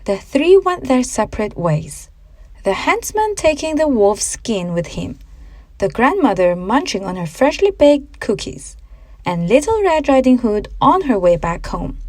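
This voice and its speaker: A woman's voice: a little high, big pitch swings, measured pace, darkish tone, no room sound. A woman reading a children's fairy tale as scripted audiobook narration.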